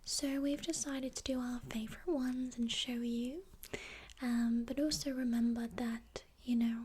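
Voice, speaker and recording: Woman, ASMR creator, high voice, soft-spoken and whispered, slow and reassuring. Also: steady tone, a little hiss or hum. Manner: slow, gentle, reassuring, checks in with "okay?"; switches between soft speaking and breathy whisper.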